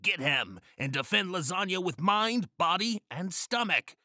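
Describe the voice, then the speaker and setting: A man's voice: high-pitched, big pitch swings, unhurried, pushed and bright, no echo, studio quality, voice pushed up, heavily acted. A man voicing a villainous, cowardly mercenary leader in a comic space story. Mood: commanding.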